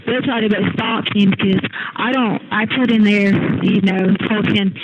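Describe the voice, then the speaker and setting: A woman's voice: medium pitch, fairly even intonation, moderate pace, heavy background noise. A woman in a casual, agitated phone chat, rambling with slang and cursing over a jail phone line. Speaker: jail inmate on a phone call. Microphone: telephone handset.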